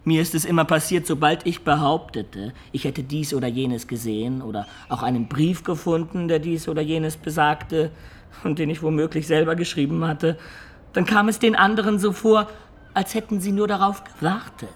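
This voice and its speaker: An actor playing an imaginative peasant-born man who looks back on his life in a German radio play, in reflective, expressive storytelling. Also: on the high side, normal speed, lightly acted. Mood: confessional.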